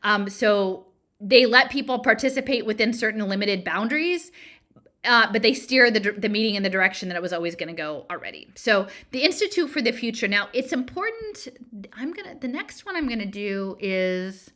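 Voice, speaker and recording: A woman's voice: medium pitch, lively intonation, moderate pace, pushed and bright. A woman presenter giving an informal explanatory talk, digressing and thinking aloud as she goes.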